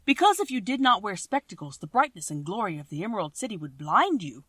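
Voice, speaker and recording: A woman reading aloud and voicing a small green man who guards a city gate, in a loud, solemn, warning way. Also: medium pitch, big pitch swings, fast, husky, no room sound, studio quality. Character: stern little green male gatekeeper.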